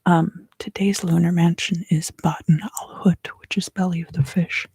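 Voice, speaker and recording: A woman giving an ASMR tarot reading in a hushed, breathy whisper, gently and with pauses. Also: lowish voice, fairly even intonation. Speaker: tarot reader and host.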